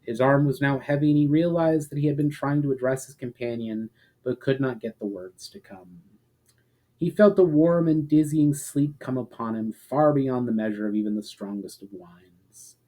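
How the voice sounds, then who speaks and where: A man narrating a fantasy story as an expressive, dramatic reader. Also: medium pitch, normal speed, low-quality recording. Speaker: narrator and author.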